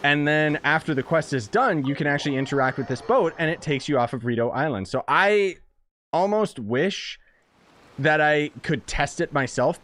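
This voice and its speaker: A young man doing casual live game commentary in an excitable, playful, slangy way. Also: mid-range voice, a little hiss or hum. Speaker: game streamer. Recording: game music behind the voice. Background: game music.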